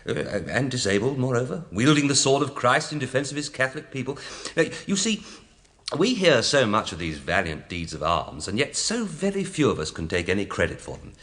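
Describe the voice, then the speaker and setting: Fussy, lilting parish priest. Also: male voice, mid-range voice, a bit bright. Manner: hesitant, rambling, flustered. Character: fussy, timid, apologetic male parish priest. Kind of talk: radio drama acting.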